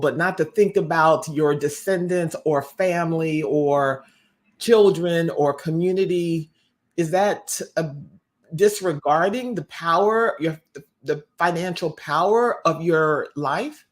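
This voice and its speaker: Measured woman interviewer, careful phrasing. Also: low-pitched. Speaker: interviewer and host. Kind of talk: reflective interview questioning.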